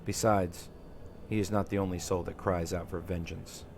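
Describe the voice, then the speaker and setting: Man reading as gravelly, solemn young nobleman. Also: sits low, flat delivery, darkish tone, a little hiss or hum. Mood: pensive, grave. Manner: formal, deliberate declaiming. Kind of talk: dramatic reading of game dialogue.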